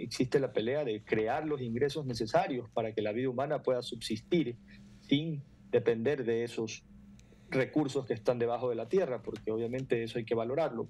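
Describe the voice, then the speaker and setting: A middle-aged man, explaining earnestly and haltingly as an interview guest on a video call. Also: mid-range voice, warm tone. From Ecuador. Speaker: foundation president, interview guest. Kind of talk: interview answers. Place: room indoors at home or office.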